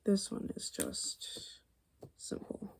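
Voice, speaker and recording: A young adult woman hosting ASMR, speaking softly and breathily, often whispering, musing aloud in an informal, murmured way. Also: extremely slow. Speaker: ASMR video host. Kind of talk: ASMR, soft-spoken personality explainer while drawing.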